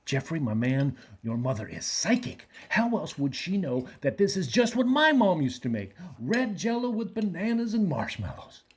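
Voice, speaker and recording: A laid-back slacker handyman acted by a man in a radio play. His voice is husky and swings widely in pitch. He talks in friendly, slangy dude speech. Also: big pitch swings, moderate pace, few pauses. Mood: mellow, friendly.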